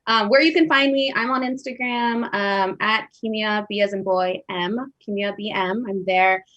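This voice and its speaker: Bubbly female guest with a Persian accent, gushing and friendly, mid-pitched, heard over a video call. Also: fairly even intonation, normal speed, fairly bright tone, highs cut off. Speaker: guest singer. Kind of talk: casual livestream chat.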